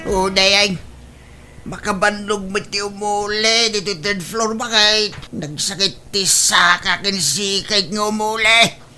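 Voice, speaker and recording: A woman's voice: medium pitch, steady tone, slow, music in the background, natural voice. A woman voicing a weary, grumbling old husband in an Ilocano comedy drama, with an Ilocano accent and a complaining manner. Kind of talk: Ilocano comedy radio-style drama acting.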